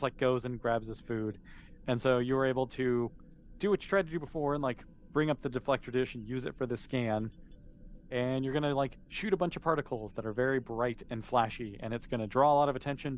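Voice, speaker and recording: Casual male game master, mid-pitched, conversational and explanatory, improvising tabletop narration over faint noise. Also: fast.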